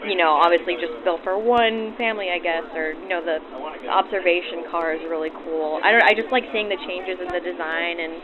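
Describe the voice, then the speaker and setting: A woman's voice: on the low side, steady tone, a little fast. A young woman from New Orleans chatting casually and informally in an interview, through a vintage 1940s radio filter. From New Orleans, United States. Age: young adult. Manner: chatty, informal, self-deprecating. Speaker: passenger and reenactor being interviewed. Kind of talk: casual, spontaneous interview chat. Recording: tinny old radio sound, crowd behind.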